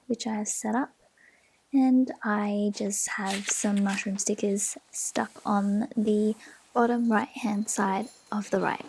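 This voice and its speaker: An Australian woman talking through her planner pages in a gentle, often half-whispered way. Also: fairly high pitch, gravelly, breathy edge, low-quality recording. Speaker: planner vlogger. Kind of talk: soft-spoken planner flip-through. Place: home desk.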